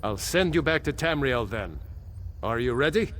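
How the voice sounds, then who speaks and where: An actor playing a stern, exasperated archmage with a grave, formal manner in a fantasy video game. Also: male voice, medium pitch, expressive, moderate pace, fairly bright tone.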